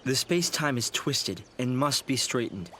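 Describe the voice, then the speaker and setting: An actor playing an earnest, idealistic young researcher in a video game, speaking in a measured, formal, thoughtful way. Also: male voice, medium pitch, sing-song, a bit bright, slight background noise. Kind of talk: video game cutscene acting.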